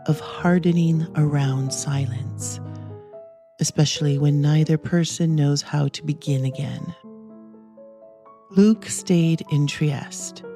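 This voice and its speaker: A podcast host narrates a scripted story in a mellow, relaxed voice. The delivery is slow, measured and reflective, with music underneath. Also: mid-range voice.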